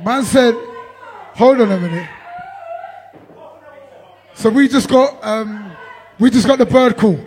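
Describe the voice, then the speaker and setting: A man MC, voice worn out from performing, loudly laughing and shouting out names in live banter. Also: very high-pitched, slow, long pauses, a little hiss or hum. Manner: loud, laughing, shouts out people. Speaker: MC on a live stream. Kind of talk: hyped live banter between sets.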